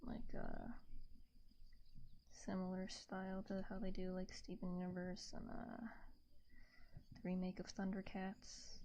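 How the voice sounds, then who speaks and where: Soft, creaky-voiced woman murmuring ASMR chat. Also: on the low side, unhurried, pauses often, slight background noise. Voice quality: soft, gentle, often breathy and half-whispered. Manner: relaxed, rambling, musing aloud. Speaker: livestreamer. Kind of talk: casual ASMR stream chat. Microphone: close binaural ASMR microphone.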